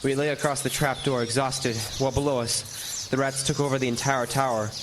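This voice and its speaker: A man playing a haunted lighthouse keeper, narrating in old-time radio horror style with halting, suspenseful pauses and repeated words. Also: medium pitch, steady tone, noisy background, natural voice. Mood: tense, weary.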